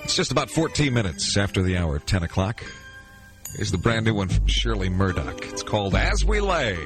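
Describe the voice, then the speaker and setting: A man with an American accent works as a radio DJ in classic 1980s AM style. His voice sits a little low. Music is clearly heard along with his announcer patter, as on an old aircheck. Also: very animated, normal speed. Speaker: radio DJ and weekend show host. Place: radio studio.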